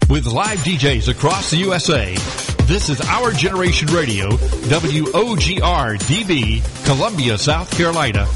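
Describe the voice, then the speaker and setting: A man's voice: on the high side, sing-song, moderate pace, loud music behind the voice. Punchy male station ID voice. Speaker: station ID announcer. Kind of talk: produced radio station ID.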